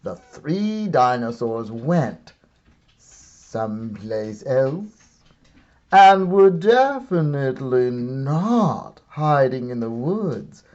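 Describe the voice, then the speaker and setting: Animated man reading a storybook, unhurried. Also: mid-range voice, muffled recording. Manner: deliberate, theatrical comic timing with wry pauses. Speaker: teacher reading aloud. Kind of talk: expressive storybook read-aloud.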